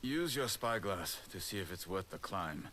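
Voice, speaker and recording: An actor playing a measured, dryly sardonic samurai companion in a dramatic video game, with loud music over him. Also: male voice, low-pitched, animated, slight background noise. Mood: stern, watchful. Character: dry, prudent male samurai companion.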